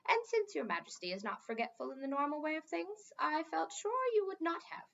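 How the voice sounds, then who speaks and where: A stiffly proper male elf servant from a storybook, put on by a woman. He is formal and fussy, always deferential, with animated intonation. The sound is slightly dull. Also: sits high, a little fast, vocal fry, voice pushed up, heavily acted.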